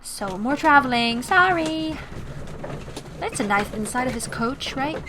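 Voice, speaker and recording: A woman's voice: sits high, animated, a little slow. Girl doing casual game commentary, mixed american-british accent, pretty noisy audio. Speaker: gaming video host. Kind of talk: casual game playthrough commentary, reading game text aloud.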